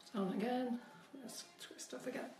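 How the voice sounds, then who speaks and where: A woman's voice: a little high, steady tone, normal speed, a little mellow, far from the mic, slight background noise. Chatty woman teaching cake piping, echoey kitchen. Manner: chatty, instructive, addresses viewers directly. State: slightly squeaky voice from a cold. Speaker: cake decorating tutor. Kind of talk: live-streamed baking demonstration. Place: home kitchen, indoors. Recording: echoey kitchen, voice often off-mic.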